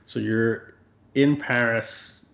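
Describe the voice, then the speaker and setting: A man's voice: medium pitch, very slow, gravelly. Reflective male interviewer, echoey room. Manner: reflective, relaxed, with frequent fillers. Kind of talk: casual interview conversation.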